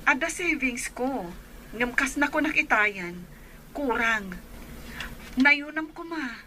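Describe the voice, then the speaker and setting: A woman's voice: high voice, expressive, takes it slowly, pushed and bright, a little hiss or hum, full character voice. A woman playing an anxious, caring eldest daughter in a radio drama. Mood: apologetic, subdued. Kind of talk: Ilocano radio drama acting.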